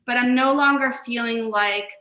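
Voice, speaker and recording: A woman's voice: fairly high pitch, normal speed, bright, forceful tone, echoey room. A woman guest and business co-founder, born in Uzbekistan and raised in Chicago, telling her story earnestly. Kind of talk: interview storytelling.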